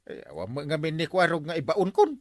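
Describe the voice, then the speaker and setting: A man's voice: on the high side, sing-song, quick pace, darkish tone, no room sound, clean studio sound. A man with an Ilocano accent playing a grumbling, exasperated village captain in a comedy drama. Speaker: lead actor in a comedy drama. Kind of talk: Filipino radio-style comedy drama acting.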